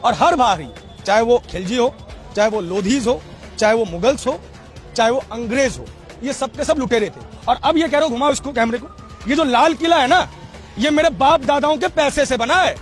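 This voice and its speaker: High-pitched man debating insistently. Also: moderate pace. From Rajasthan, India. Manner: insistent, lecturing, repeats questions. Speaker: street debater. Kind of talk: impassioned street debate, question and answer. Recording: music behind the voice. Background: music.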